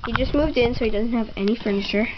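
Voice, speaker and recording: A young girl giving a rambling tour of her toy horse barn at home, with many ums and pauses. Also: fairly high pitch, background noise. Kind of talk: casual home video narration. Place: bedroom at home. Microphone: handheld camera mic. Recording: slightly dull lo-fi home camera audio.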